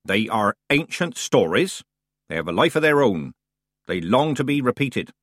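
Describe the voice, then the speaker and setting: A man's voice: medium pitch, sing-song, normal speed, a bit bright, no echo. A man voicing a stern, proud old witch woman with a dry, matter-of-fact manner.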